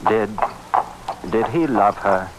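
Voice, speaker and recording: A man playing a grave, courteous middle-aged police inspector in a vintage radio drama, speaking deliberately. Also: lowish voice, expressive. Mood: probing. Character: haunted, courteous Scotland Yard inspector with lost past. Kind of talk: 1940s radio drama acting. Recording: noisy old radio recording.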